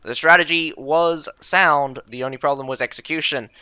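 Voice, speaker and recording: A man's voice: a little high, moderate pace, pushed and bright, clean tone, no echo. A young man casting a strategy game live and chatting with viewers in a chatty, self-deprecating way. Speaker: game caster and streamer. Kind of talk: live game commentary with chat banter.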